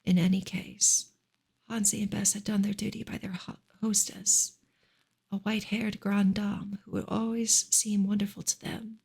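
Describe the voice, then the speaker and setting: A woman reading a book aloud as soft ASMR, breathy and often close to a whisper, with gentle, careful narration. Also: medium pitch. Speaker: reader, ASMR creator.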